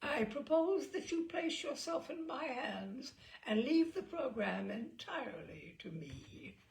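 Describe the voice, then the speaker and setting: A woman's voice: high-pitched, sing-song, slow, creaky, voice pushed up, full character voice, poor audio. Woman playing a grand, jaunty old English lord. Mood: breezy, grand. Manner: grand, leisurely, relishing his words. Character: jaunty, mischievous sixty-ish English lord, an incorrigible uncle.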